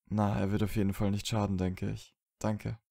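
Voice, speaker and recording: A man acts out a young wizard in an audio drama, lovesick and a little sullen. His voice is low and mellow, the delivery dry and offhand, recorded close and dry. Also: little pitch movement, normal speed, gravelly, dry recording. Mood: subdued, grateful. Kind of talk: fan fiction audio drama acting.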